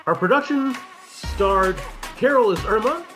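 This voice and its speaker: A man's voice: high-pitched, lively intonation, measured pace, over music. A man reading scripted closing credits as the announcer of a radio play.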